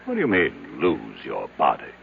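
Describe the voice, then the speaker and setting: An actor playing a domineering, skeptical business tycoon in a radio drama, curt, formal and commanding. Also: male voice, a bass voice, wildly swooping pitch, normal speed, gravelly, full character voice. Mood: impatient. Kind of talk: 1970s radio drama acting. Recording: tinny band-limited old radio, faint hiss.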